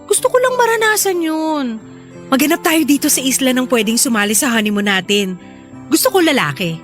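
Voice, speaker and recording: A woman's voice: sits high, sing-song, brisk, faint music behind. An actress with a Filipino accent playing an insistent wife who pleads with and coaxes her reluctant husband in a radio drama. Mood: pleading, coaxing.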